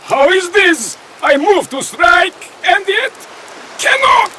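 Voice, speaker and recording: An actor playing a menacing, vengeful demon in a video game, with pompous, archaic, threatening delivery. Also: male voice, very high voice, big pitch swings, slow pace, exaggerated voice. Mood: enraged. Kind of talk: video game cutscene acting. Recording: loud rain and noise behind.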